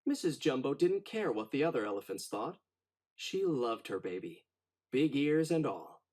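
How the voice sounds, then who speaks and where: A man narrating a children's storybook in an expressive, dramatic read-aloud style. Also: mid-range voice, moderate pace.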